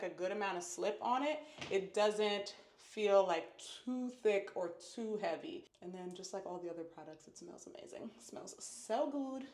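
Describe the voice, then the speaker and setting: A woman's voice: medium pitch, a little mellow. A woman vlogging at home as a hair reviewer, chatty and upbeat, repeating words for emphasis. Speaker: natural hair YouTuber. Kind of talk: casual product review vlog. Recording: echoey room.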